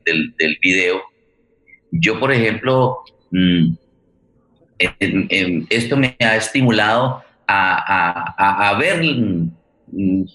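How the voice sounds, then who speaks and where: A middle-aged Colombian man hosting a forum in a reflective, unscripted way, halting and searching for his words. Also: fairly low pitch, sharp tone, low-quality recording. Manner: halting, searching for words, with repeated words and long hesitations. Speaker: host of a radio theatre forum.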